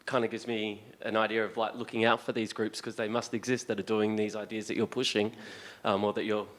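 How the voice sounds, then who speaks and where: A man with a fairly low voice talks in an even, flat tone with little rise and fall. He shares his thoughts casually and a little tentatively. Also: brisk. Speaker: workshop participant.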